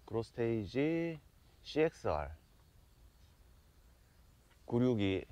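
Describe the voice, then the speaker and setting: A man's voice: mid-range voice, sing-song, slow, warm tone, no echo. A man chatting casually and explaining fishing gear in an outdoor vlog. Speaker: host of a fishing channel. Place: outdoors on a seaside walkway. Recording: dull outdoor sound with faint background noise.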